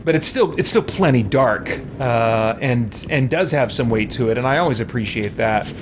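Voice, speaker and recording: A man's voice: mid-range voice, expressive, normal speed, very noisy. A man giving casual livestream commentary on a game, thinking aloud in a hesitant, reflective, rambling way. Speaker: game streamer. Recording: music behind the voice.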